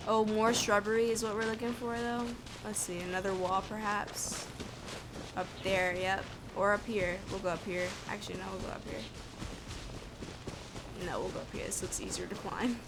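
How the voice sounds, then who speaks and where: A woman's voice: mid-range voice, noisy background. A woman streaming a game, thinking aloud in informal, muttered commentary to herself, with music behind her.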